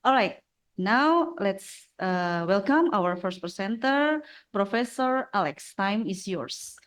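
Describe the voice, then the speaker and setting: A woman's voice: mid-range voice, animated, takes it slowly. A woman moderating a seminar in a hall, reading a speaker's bio aloud and stumbling over technical terms.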